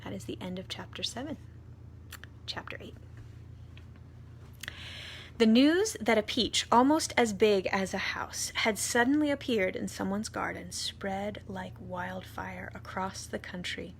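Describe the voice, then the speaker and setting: A woman reading a children's story aloud expressively, deliberate in manner, with dramatic pauses. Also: medium pitch, gravelly, a little hiss or hum. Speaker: storytime reader, priest.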